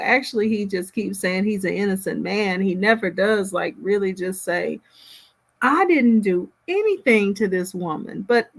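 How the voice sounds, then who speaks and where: A woman host giving casual reaction commentary in reflective, hesitant phrasing. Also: medium pitch.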